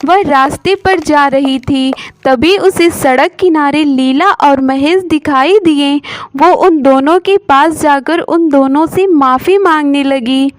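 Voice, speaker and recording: A woman's voice: sits high, takes it slowly, no echo. A woman storyteller giving scripted narration of a moral story.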